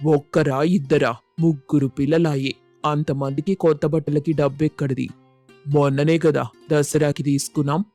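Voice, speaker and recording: Man voicing a flat-toned, careworn father. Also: on the high side, moderate pace, no room sound, faint music behind, natural voice. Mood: exasperated. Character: frugal, careworn village farmer father, firm but loving. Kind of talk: scripted moral story dialogue.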